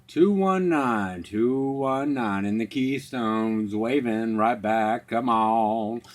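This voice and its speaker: A man's voice: mid-range voice, slow pace. A man working as a CB radio operator, calling out call signs and slang in clipped, repetitive radio patter. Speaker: CB radio operator making contacts. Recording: noisy CB radio, static behind. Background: radio static and noise.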